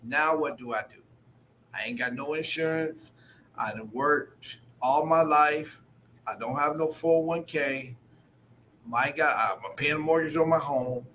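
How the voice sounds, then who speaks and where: Older male host in his 60s, high bright voice, emphatic and folksy, faint hum behind. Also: a little slow. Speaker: interviewer host. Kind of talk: conversational interview.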